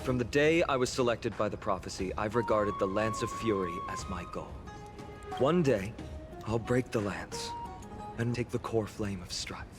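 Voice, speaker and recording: A man's voice: fairly low pitch, normal speed, natural voice. A man playing an earnest, courteous young soldier hero in a video game. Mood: solemn, earnest. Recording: game music under the voice.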